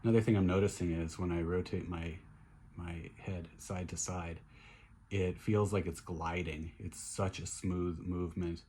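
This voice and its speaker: A middle-aged man with a hoarse voice, chatting casually in a video diary, upbeat and relaxed with many fillers and restarts. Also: low-pitched, unhurried, slight background noise. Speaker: vlogger recovering from surgery.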